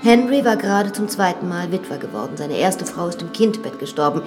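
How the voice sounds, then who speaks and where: An actress playing a frank, self-assured young widowed lady in a radio drama, telling things in a measured, confiding way. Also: lowish voice, lively intonation, natural voice. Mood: wistful, confiding. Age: young adult. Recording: studio drama with reverb and music.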